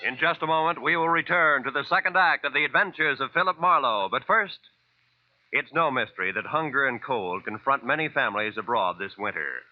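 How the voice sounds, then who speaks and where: A man's voice: medium pitch, animated, a little fast, faint music behind. A man with an American accent reading scripted announcements and appeals in a formal 1940s radio style. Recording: muffled dry vintage radio recording.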